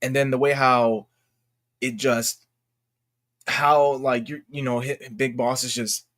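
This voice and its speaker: A man's voice: mid-range voice, level tone, fairly bright tone. A man with a mid-range voice delivers off-the-cuff online commentary. He rambles and halts, breaking into his own sentences to start again. His wording is slangy and exclamatory, with emphatic stresses. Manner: rambling, halting, self-interrupting. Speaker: YouTube commentator. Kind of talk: unscripted casual commentary rant.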